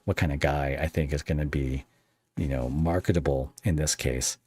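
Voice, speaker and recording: A man's voice: extremely low pitch, steady tone, normal speed, a little mellow, breathy edge, dry recording, clean studio sound. A man hosting a casual interview podcast, talking in a rambling, self-deprecating way.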